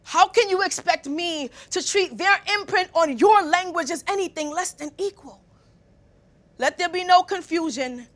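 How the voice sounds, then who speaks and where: A young woman performing spoken word poetry with emphatic, rhythmic delivery and deliberate, articulate diction. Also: extremely high pitch, a bit bright. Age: young adult.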